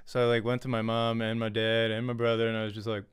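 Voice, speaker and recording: A young man chatting casually as a vlogger, telling a personal story in an animated way and acting out reactions, close on a desk mic at home. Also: lowish voice, fast talker, smooth. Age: young adult. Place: small home room. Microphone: desk studio mic with pop filter, close.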